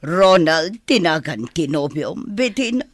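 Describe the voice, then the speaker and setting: A woman's voice: low-pitched, animated, measured pace, dry recording. A woman playing a haughty middle-aged mother in an Ilocano audio drama, stern and indignant as she questions people. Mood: stern, suspicious. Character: haughty, stern mother scolding gossips and her daughter.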